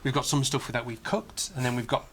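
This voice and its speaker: A man's voice: mid-range voice, fairly even intonation, brisk, a bit bright, slight background noise. A man explaining things casually as a developer guest on a live stream.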